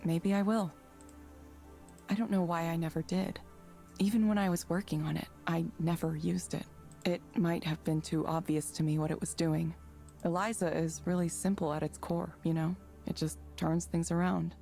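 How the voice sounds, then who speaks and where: A troubled, low-voiced woman. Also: flat delivery, normal speed, gravelly, mellow, soft background music. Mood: reflective. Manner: earnest, downcast, introspective. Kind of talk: visual novel game voice acting.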